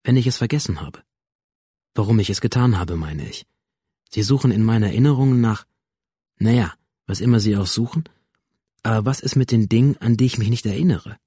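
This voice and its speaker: A man's voice: fairly low pitch, fairly quick, pauses often, warm tone, slightly rough, dry recording, clean studio sound. A man playing a cynical, defiant young school shooter in a radio drama, sardonic, coarse and introspective. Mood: agitated, challenging. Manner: sardonic, coarse, introspective first-person narrating. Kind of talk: modern radio drama acting.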